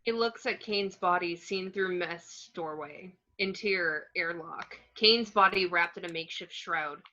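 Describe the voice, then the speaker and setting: A woman's voice: on the low side, a little slow, bright, forceful tone. A woman narrating screenplay action descriptions in an amateur table read, on muffled lo-fi audio.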